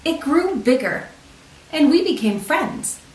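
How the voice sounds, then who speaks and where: Female storybook reader, highish voice with lively melody, deliberate children's read-aloud delivery, recorded in a roomy space. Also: slight background noise. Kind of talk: read-aloud picture book narration. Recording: roomy echo, distant microphone.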